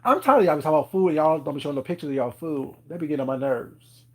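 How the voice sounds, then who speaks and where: A man's voice: on the high side, animated, rapid-fire, darkish tone. A man, chatting casually on a live stream, rambling with lots of fillers and laughing often. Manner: rambling, many fillers and "you know what I'm saying"; laughs often, teasing viewers. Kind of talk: casual chat.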